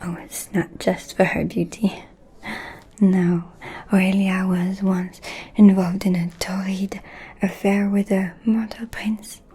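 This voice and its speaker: Slow, whispering scheming vampire noblewoman played by a woman. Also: mid-range voice, little pitch movement. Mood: sly, conspiratorial. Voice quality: soft breathy whisper. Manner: slow, deliberate, insinuating with pauses. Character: scheming aristocratic female vampire, seductive gossip confiding in a fledgling. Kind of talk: ASMR roleplay, whispered dramatic monologue.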